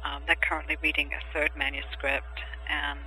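A man who is a poet, answering podcast interview questions in a thoughtful, hesitant way with many pauses and restarts. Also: on the high side, monotone, bright, forceful tone, faint music behind, rough recording. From England and Germany.

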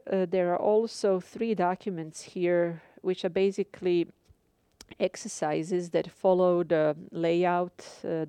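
A woman with a Croatian accent lectures her class over a live broadcast, speaking carefully and pausing often on fillers. Her voice is mellow, low and smooth. The studio sound is dry and close. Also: no room sound. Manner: careful, measured, frequent filler pauses. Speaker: instructor. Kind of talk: live radio lecture to students.

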